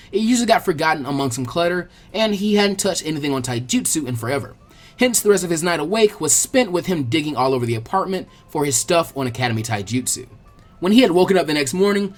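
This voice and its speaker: A man reading a story aloud as an expressive, colloquial audiobook narrator, with a husky voice. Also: a little high. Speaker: fan fiction audiobook narrator.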